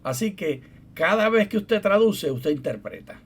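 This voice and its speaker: A man's voice: sits high, sing-song, measured pace, slight background noise. A middle-aged man co-hosting a Bible study program, explaining things patiently and steering the talk back to the topic. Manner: explanatory, teasing, steers the conversation back on track. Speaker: co-host, theologian and professor.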